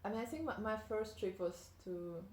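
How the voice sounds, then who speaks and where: A woman's voice: mid-range voice, lively intonation, moderate pace, darkish tone, slight background noise. A woman in her thirties from Belarus with a Belarusian accent, Russian her first language, talking as a guest in a casual interview, hesitant with many fillers and restarts. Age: 30s. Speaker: guest artist. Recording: roomy echo, faint background ambience.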